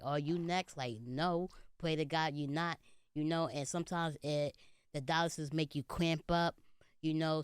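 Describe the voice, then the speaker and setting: A man's voice: a little high, clean tone, no echo, clean studio sound. A man in his late twenties, a comedian guest in a casual interview, talking earnestly and often saying "you know". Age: 20s. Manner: earnest, speaks of faith, many "you know" fillers.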